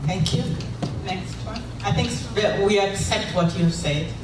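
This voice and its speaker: A woman's voice: medium pitch, unhurried. Woman on a panel, very echoey hall. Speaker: panelist or moderator. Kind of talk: answering audience questions on a panel. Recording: echoey hall, distant mic, noisy.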